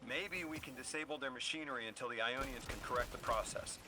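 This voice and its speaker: A man's voice: medium pitch, fast talker, over music, close to an everyday voice. A man playing an earnest, mild-mannered guide in a video game, speaking thoughtfully and a little hesitantly. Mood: focused. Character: earnest, mild male radio guide, sympathetic to aliens. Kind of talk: scripted video game dialogue. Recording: clear background noise of game ambience.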